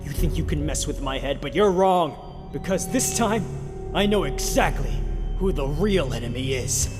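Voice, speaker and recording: Man as an earnest, higher-voiced young turtle hero. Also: expressive, normal speed, music in the background, natural voice. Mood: defiant. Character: earnest, defiant young male mutant ninja turtle. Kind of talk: dramatic audio drama acting. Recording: long hall reverb.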